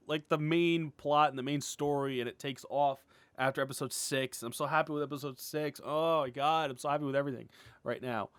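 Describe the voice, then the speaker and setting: A man's voice: mid-range voice, a bit bright, no room sound. Casual, enthusiastic man in a dry recording. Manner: enthusiastic, gushing; rambling, informal, heavy use of "like". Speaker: indie animator, writer and series creator. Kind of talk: casual, unscripted update vlog.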